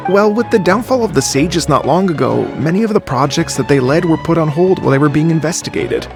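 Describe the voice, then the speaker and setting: A chatty, evasive young researcher in a game, stumbling over his words. His voice sits fairly high with a gravelly rasp. Faint music plays under him. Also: animated, a little fast. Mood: explaining. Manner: hesitant, stammering, chatty. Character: slacking young male researcher, easygoing and evasive. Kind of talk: game voice acting.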